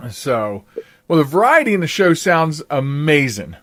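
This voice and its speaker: A man's voice: a little high, very animated, a little slow, fairly bright tone, dry recording. An older man hosting a podcast, chatting casually in an interview. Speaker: podcast host.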